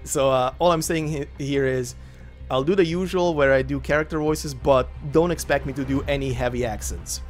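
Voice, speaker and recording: A man from Croatia, a native Croatian speaker, chatting casually as the host before narrating a game story. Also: normal speed. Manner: chatty and rambling, with lively pitch jumps. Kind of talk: casual spoken intro to a game story reading. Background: faint looping game menu music.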